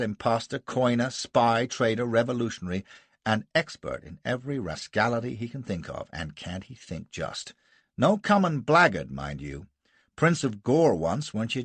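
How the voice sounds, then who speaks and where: A man voicing a gruff, blustering American colonel who barks scornful outbursts, with an American accent. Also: fairly bright tone, no echo. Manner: barking, scornful, booming outbursts.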